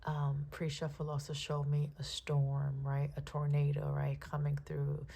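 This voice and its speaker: Raspy low-voiced woman reading tarot. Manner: calm and unhurried, checks in with listeners often; soft-spoken, prayerful. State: raspy, froggy early-morning voice. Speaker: tarot reader. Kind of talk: spiritual tarot reading with spoken prayers.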